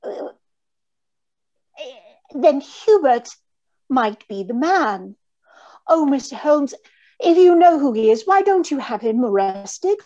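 A woman's voice: high-pitched, very animated, slow pace, pauses often, dark tone, lo-fi sound, full character voice. A woman playing a frightened, earnest young lady in an old-time radio mystery, with a breathless, hesitant manner. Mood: flustered. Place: indoor room on a video call.

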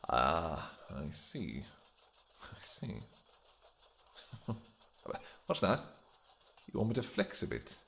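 Man voicing a vampire king, deep-ish gravelly tone, playful and affectionate, soft murmuring delivery. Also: huge pitch swings, slow pace, pauses often, slight background noise, heavily acted. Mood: flirty teasing. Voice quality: gravelly, low. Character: royal vampire king, adult male, flirtatious. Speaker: audio roleplay performer. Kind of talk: audio roleplay acting.

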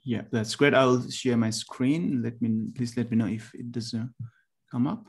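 A man from Nepal in his forties, a university lecturer giving a presentation over a video call, talking haltingly with many ums and restarts. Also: mid-range voice, level tone, gravelly, slightly rough. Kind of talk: webinar presentation.

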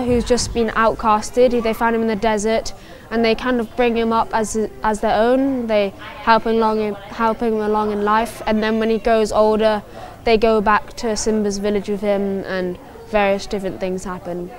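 A teenage girl with an English accent, a student actor, chatting eagerly about her role. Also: on the high side. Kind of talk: interview. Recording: music playing behind.

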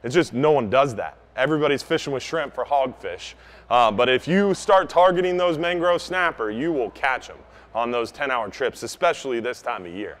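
A man's voice: mid-range voice, expressive, normal speed, fairly bright tone. A man in his thirties giving an informal, practical fishing-captain style talk to a live group in a store, speaking up emphatically, recorded from a few meters away. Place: inside a large outdoor goods store. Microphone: camera a few meters away.

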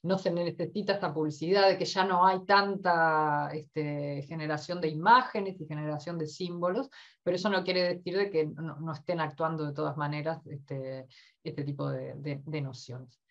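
A woman's voice: low-pitched, fairly even intonation, normal speed, lo-fi sound. A woman moderating an academic video call, reflective and trailing off with fillers.

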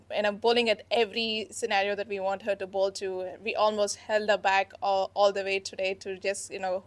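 A young woman captain fields reporters' questions in a steady, earnest tone, her pitch staying level and even. She speaks into a handheld mic in an indoor press room. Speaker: team captain. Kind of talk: post-match press conference answers. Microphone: handheld microphone.

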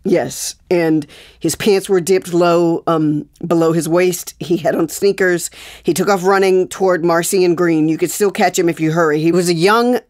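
A woman's voice: lowish voice, steady tone, normal speed, a little mellow, close to an everyday voice. A woman playing a shaken, angry pregnant wife with an American accent in a naturalistic audio drama, insistent and swearing. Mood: urgent. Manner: agitated, profane, insistent.

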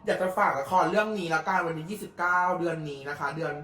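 A woman hosting a reaction video, chatting casually in a gushing, excitable way with little squeals. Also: low-pitched. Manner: gushing, excitable, with frequent squeals and drawn-out particles. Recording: echoey, roomy sound.

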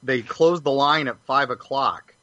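A man's voice: medium pitch, a little slow, sharp tone, no echo. A man chatting casually as a panelist on a podcast. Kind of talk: casual chat. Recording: dull, lo-fi audio.

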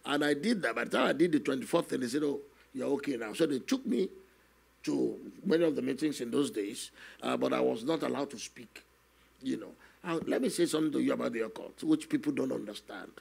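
An older man, a guest minister telling his testimony slowly and earnestly in a studio interview, often adding "you know". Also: mid-range voice, lively intonation, a little mellow. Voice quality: gravelly, husky. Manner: slow, earnest storytelling with many "you know" asides. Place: television studio, seated on a set. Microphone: stand microphone in front of him.

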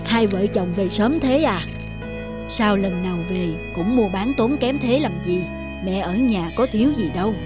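A woman's voice: medium pitch, measured pace, over music, lightly acted. A woman voicing a caring, teasing older country mother in a cartoon family drama. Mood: gently chiding.

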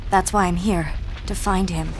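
A woman's voice: lowish voice, steady tone, moderate pace, noisy background, natural voice. An actress playing a spirited, determined young woman in a video game. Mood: frank, guarded. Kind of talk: video game cutscene acting.

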